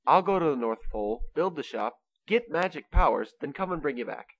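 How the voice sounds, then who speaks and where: A man's voice: on the high side, expressive, brisk, slightly rough, no room sound, highs cut off. A man playing a kindly, patient Saint Nicholas who reasons earnestly, in an amateur comedic radio play. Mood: reassuring, earnest.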